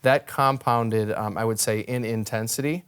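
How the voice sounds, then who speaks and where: A young American man speaks as a guest, telling his own story. His voice is very clear and smooth at a medium pitch. He is conversational, articulate and reflective. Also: steady tone, moderate pace, fairly bright tone. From northern Wisconsin, United States. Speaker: interview guest, ministry worker.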